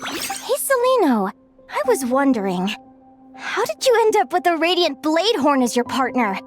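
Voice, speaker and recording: High-voiced, earnest young adventurer girl. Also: sing-song, moderate pace. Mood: happy, curious. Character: earnest, friendly, upbeat young woman adventurer. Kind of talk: anime-style video game acting. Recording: music behind the voice.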